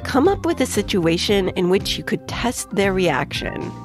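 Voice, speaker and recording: A woman's voice: mid-range voice, big pitch swings, unhurried. Woman, kids' podcast host: playful explainer, very varied intonation, music bed underneath. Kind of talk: scripted narration for a kids' science podcast. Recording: music behind the voice.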